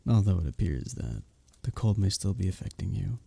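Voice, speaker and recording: A very dark, velvety male innkeeper from a medieval fantasy roleplay, acted out by a man, speaking slowly and intimately. He is courtly and teasing, with flowery phrasing and a soft, breathy voice that swings expressively in pitch. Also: exaggerated voice. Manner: flirtatious, courtly, soft chuckles between phrases. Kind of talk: intimate ASMR roleplay with flowery old-fashioned speech.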